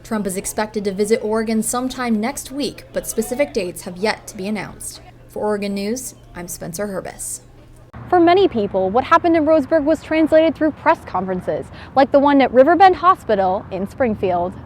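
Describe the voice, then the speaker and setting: A woman working as a television news reporter, delivering scripted broadcast reports in a measured, emphatic way. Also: a little high, animated, soft background music.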